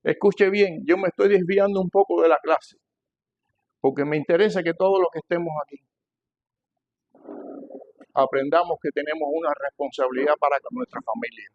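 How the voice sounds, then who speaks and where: An older man with a Cuban Spanish accent preaching to a congregation, emphatic and repeating phrases, swinging between loud and hushed delivery. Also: a little high, normal speed, pauses often, muffled recording. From Cuba. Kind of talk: sermon, teaching a church congregation.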